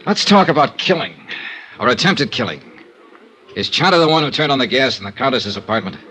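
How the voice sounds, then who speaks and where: An actor in a radio noir playing a tough, sardonic American insurance investigator, blunt and hard-boiled. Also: male voice, mid-range voice, animated, a little fast, sharp tone, a little hiss or hum, rough recording. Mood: cool, probing. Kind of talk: 1950s radio noir acting and narration.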